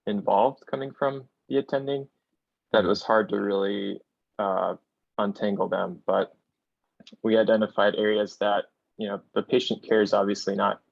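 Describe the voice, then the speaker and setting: Participant: low gravelly man, flat and hesitant, dull video call sound. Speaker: workshop participant. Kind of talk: online workshop discussion.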